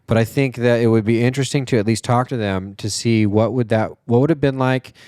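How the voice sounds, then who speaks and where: Man, podcast co-host, smooth clear voice, dry close sound, loose rambling chat. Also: mid-range voice, steady tone, brisk, a little mellow, no echo. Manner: laid-back, rambling with fillers and swearing. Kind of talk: casual chat.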